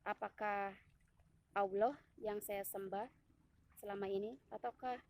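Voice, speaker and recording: A woman in her thirties from Indonesia, hosting her own channel and telling a personal testimony in a casual, halting way, with many fillers and self-corrections as she speaks to viewers. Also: medium pitch, steady tone, background noise. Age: 30s.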